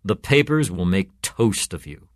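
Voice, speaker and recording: A man voicing a cynical, gruff police sergeant in a story, clipped and sardonic in his delivery. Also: low-pitched, expressive, unhurried, no echo, studio quality. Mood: sardonic, taunting. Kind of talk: storytelling character voice.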